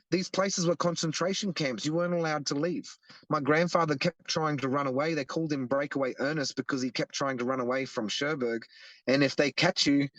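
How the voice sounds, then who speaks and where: A young Australian man talks in an earnest, explanatory way, circling back and restarting his sentences. His voice sits a little high for a man. The recording is close and dry. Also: fairly bright tone, no room sound, low-quality recording. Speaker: guest, activist. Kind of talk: casual interview.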